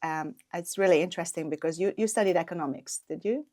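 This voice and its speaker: A woman from Hungary hosts a podcast interview, eager and engaged as she asks her questions. Her voice sits on the low side for a woman. The recording is dry and close. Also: fast talker, no echo. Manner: warm, enthusiastic, laughs often. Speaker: podcast host. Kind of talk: interview host.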